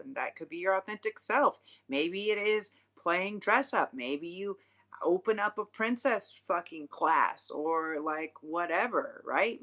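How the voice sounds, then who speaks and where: Low-voiced, bright, rambling tarot reader woman. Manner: rambling, frank, swears casually, many fillers. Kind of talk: casual livestream tarot reading.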